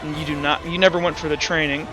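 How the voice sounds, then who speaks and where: A man acting as game master, narrating and explaining rules in a halting, self-correcting way. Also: a little high, little pitch movement, music in the background, rough recording. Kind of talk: improvised tabletop game narration and rules talk.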